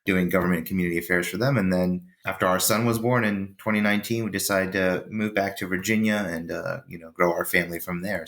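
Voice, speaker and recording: A man's voice: deep voice, fairly bright tone. A man originally from Peru, a lobbyist guest on a podcast, talking casually with lots of fillers and restarts. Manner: rambling, many fillers and restarts. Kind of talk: casual interview chat.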